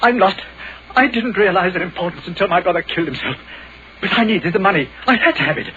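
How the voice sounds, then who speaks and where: An actor playing a weak, guilt-ridden colonel, agitated and pleading, in melodramatic 1940s radio drama style. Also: male voice, high-pitched, animated, fast, sharp tone. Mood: desperate, confessing. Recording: dull, noisy vintage radio recording.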